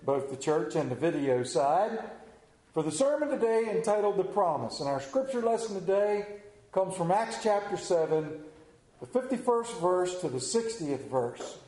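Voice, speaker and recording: A man preaching as a pastor to a congregation, speaking in a conversational, folksy way with deliberate pauses. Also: high-pitched, big pitch swings, mellow. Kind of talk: sermon with anecdote, scripture reading and prayer. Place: church during a service, also on video. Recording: roomy, echoing church sound.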